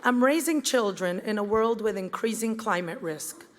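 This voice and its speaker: A woman, giving a prepared campaign speech in an earnest, measured way. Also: medium pitch, background noise. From Venezuela. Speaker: campaign event speaker. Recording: reverberant hall with crowd.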